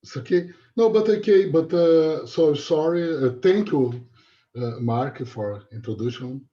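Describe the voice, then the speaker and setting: A man with a Brazilian Portuguese accent gives a hesitant academic talk, filling his pauses with uhs. He speaks very slowly in a dark, mellow voice. The dull, lo-fi call audio muffles him a little. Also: a little high. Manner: hesitant, many uhs, apologetic. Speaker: guest lecturer. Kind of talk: academic presentation. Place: online video call. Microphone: video call.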